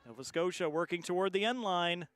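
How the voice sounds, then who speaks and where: Male play-by-play announcer for live sport, slightly high-pitched voice. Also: animated, fairly quick, a bit bright. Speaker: play-by-play commentator.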